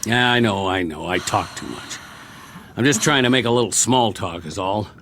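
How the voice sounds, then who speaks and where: An actor playing a war-weary, talkative soldier in a radio drama, rambling and wry, lonely and eager to please, stammering when eager. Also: male voice, lowish voice, normal speed, a bit bright, slight background noise. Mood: coaxing.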